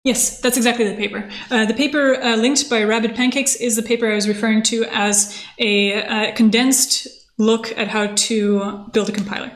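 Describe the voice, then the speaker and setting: A woman, explaining technical things casually and haltingly on a livestream. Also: fairly high pitch, fairly even intonation. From born in Moscow, grew up in Canada. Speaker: software engineer, livestream host.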